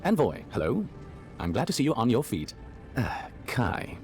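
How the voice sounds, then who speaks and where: An actor playing a courteous but defensive town official in a video game. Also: male voice, mid-range voice, wildly swooping pitch, normal speed, a little mellow, faint music behind. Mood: guarded.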